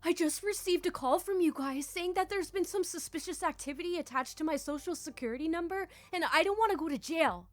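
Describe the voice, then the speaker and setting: Anxious male caller played by a woman storyteller, high and animated, pleading with overblown panic. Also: a little slow, heavily acted. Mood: panicked pleading. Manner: pleading, overdramatic. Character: anxious man feigning panic to a phone scammer.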